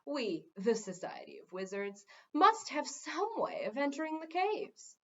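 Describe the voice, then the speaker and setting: A woman voicing a smooth, pushy, lecturing male head wizard in a children's fantasy story. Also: high-pitched, huge pitch swings, a little slow, dull audio, pitched above the performer's own voice, heavily acted. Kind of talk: children's fantasy audiobook reading.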